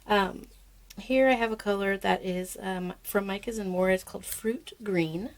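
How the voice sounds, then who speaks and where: A woman with a hoarse, froggy voice from being sick, casually walking through a soap-making demonstration with many fillers. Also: medium pitch, unhurried. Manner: relaxed, rambling, with many fillers. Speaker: soap maker and channel host.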